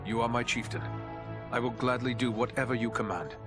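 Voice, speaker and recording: A man's voice: medium pitch, fairly quick, natural voice. Man playing a loyal, grim ranger warrior. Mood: resolute. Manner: dutiful, serious. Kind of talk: dramatic fantasy game dialogue. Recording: loud orchestral game music behind.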